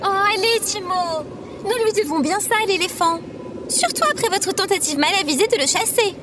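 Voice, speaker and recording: Woman doing a super high bouncy ai sidekick, polite n clueless, swoopy intonation, loud noisy background. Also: lively intonation, normal speed. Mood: blithe, persuasive. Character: female robot or AI companion, naive, blithely unapologetic. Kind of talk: comedic audio drama acting.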